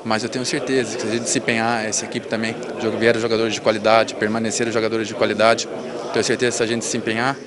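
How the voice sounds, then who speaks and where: A young Brazilian football player, a man with a low, flat voice. He speaks in a steady, measured way as crowd chatter fills the background. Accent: Brazilian Portuguese. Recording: crowd noise behind.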